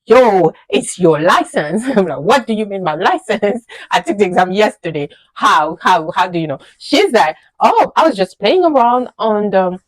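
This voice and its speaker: A woman in her thirties whose first language is French, working as a registered nurse and telling her journey in a casual vlog, animated and emphatic, with many fillers and repeated words. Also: medium pitch, moderate pace, hardly any pauses, no echo, rough recording. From French-speaking country, immigrated to the USA. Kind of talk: casual personal storytelling to camera.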